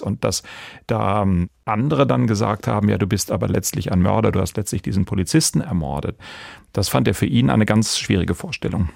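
Man with a very creaky, low voice. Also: a little mellow, no echo, studio quality. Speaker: podcast host. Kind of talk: conversational true crime podcast discussion.

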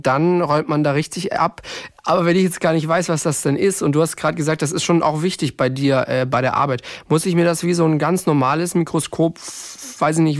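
A man's voice: a little high, no echo, clean studio sound. A man hosting a podcast in a casual, colloquial conversational way. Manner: informal, colloquial. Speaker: podcast host.

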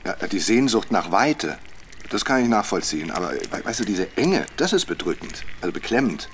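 Sardonic, very animated talkative husband. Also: male voice, lowish voice, normal speed, a little hiss or hum. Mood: scornful ranting. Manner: sardonic, rambling, mocking. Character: talkative, sarcastic husband, jokey city man in Alaska. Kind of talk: naturalistic German radio drama acting.

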